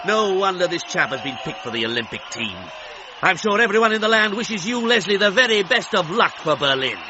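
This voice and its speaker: Excitable 1930s British radio commentator. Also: male voice, high-pitched, brisk. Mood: excited. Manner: breathless, plummy exclamations, theatrical. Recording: dull radio sound, noisy crowd behind.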